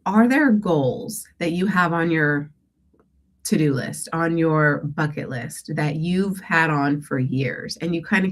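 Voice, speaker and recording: A woman coaching listeners on goals and breathing, slightly stuffy from a cold, rambling and self-correcting and often asking "right?". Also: on the low side. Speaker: declutter coach hosting a call-in show. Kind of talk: casual coaching talk with breathing guidance.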